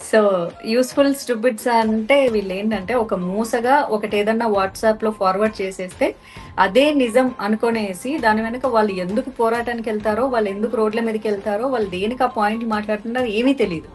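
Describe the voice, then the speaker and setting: A woman's voice: on the high side, fairly even intonation, fast talker, faint music behind. A woman hosting a podcast, chatting and questioning her guest in a casual conversational style. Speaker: podcast host.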